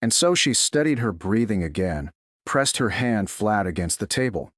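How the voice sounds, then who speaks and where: A man reading scripted dramatic fiction as a narrator, in a measured, solemn way. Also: fairly low pitch, big pitch swings, fairly bright tone, no echo, studio quality. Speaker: story narrator.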